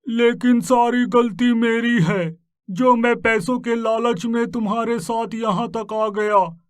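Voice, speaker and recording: Actor as gullible cartoon man, very high pitch, slow, earnest, plaintive, remorseful. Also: fairly even intonation, no room sound, studio quality. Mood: bitter regret. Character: naive, remorseful man betrayed by his companion. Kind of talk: cartoon story voice acting.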